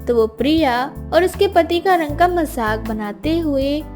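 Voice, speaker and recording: A woman's voice: high-pitched, expressive, measured pace. A woman narrating a scripted moral story as a storyteller. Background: clear background music.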